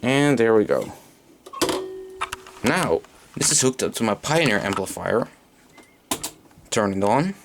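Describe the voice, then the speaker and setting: A man's voice: fairly low pitch, animated, slow pace, pauses often. A man casually talking through an unscripted hands-on gear demonstration, a little hesitant as he goes. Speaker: hobbyist demonstrating vintage audio gear. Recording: noisy amateur camera sound with clicks.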